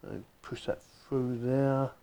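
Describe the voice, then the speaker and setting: A man with a mellow, relaxed voice at an ordinary male pitch. He talks as a product reviewer doing a casual unboxing and demonstration. His manner is chatty and informal, often thinking aloud. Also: slow pace.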